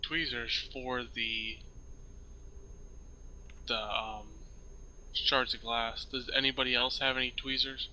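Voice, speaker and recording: A man of medium pitch with a very sharp, bright tone and flat intonation. He plays a role-play casually, haltingly searching for his words. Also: rough recording. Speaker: player in a role-playing game. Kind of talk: casual in-game talk.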